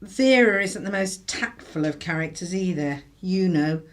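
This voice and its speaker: A woman's voice: fairly low pitch, expressive, a little slow. A woman playing an apologetic, courteous housewife and mother in a radio drama.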